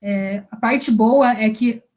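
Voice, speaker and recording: A woman's voice: on the high side, takes it slowly, darkish tone. A young woman with a Brazilian Portuguese accent leads a career workshop in a casual, slangy way, often restarting her sentences. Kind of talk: informal workshop talk.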